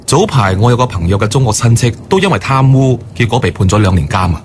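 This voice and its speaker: An actor playing an earnest, deferential young manager and boyfriend in a radio drama, polite and measured. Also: male voice, mid-range voice, animated, slight background noise, lightly acted. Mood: earnest, informative. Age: young adult. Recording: studio radio drama, music bed.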